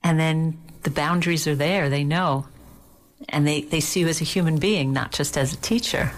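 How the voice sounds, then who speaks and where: A woman's voice: sits low, normal speed. A woman host interviewing a guest, affirming her answers and asking layered follow-up questions. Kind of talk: interview conversation.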